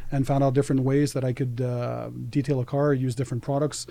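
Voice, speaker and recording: A man in his thirties chats as a podcast guest, earnest and a little rambling, with plenty of fillers and restarts. His colors an even, mid-pitched voice with a mellow, warm tone. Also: a little fast, creaky. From Canada. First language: French. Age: 30s. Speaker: guest, detailing YouTuber. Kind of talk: casual podcast chat.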